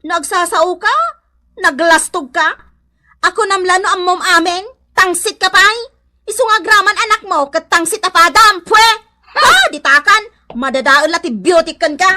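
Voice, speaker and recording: A woman's voice: very high-pitched, lively intonation, normal speed, bright, forceful tone, full character voice. A woman playing a combative, protective mother in a radio comedy drama, scolding and taunting. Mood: shrill scolding. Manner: scolding, taunting, spitting insults. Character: hot-tempered, combative village mother, shrill and protective of her son.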